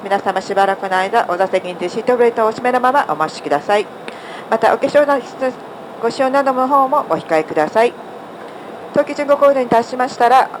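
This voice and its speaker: Rapid-fire female flight attendant, noisy cabin. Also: mid-range voice. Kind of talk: formal inflight announcement. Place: airliner cabin public address system. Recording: clear aircraft cabin noise over PA. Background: aircraft cabin drone.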